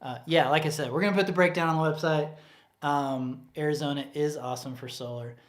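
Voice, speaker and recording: A chatty man answers viewer questions in a loose, rambling way, full of fillers and restarts. His voice sits a bit high for a man and sounds worn from talking. The room gives it a roomy echo. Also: fairly even intonation, brisk. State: voice getting tired. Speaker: co-host of a livestream Q&A. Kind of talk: casual livestream Q&A.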